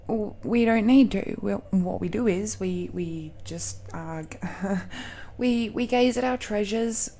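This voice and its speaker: Woman acting a slow, tender, nervous dragon girl. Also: medium pitch, creaky, warm tone. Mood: nervous, embarrassed. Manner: hesitant, halting confession with nervous laughs and sighs. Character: affectionate, nervous young dragon-blooded woman confessing love. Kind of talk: intimate romantic audio roleplay.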